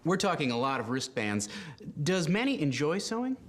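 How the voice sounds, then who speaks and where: A man playing an earnest newsmagazine reporter in a spoof, narrating formally and with a straight face. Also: on the high side. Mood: deadpan. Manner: formal, measured, deadpan. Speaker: reporter and interviewer. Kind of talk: scripted news narration.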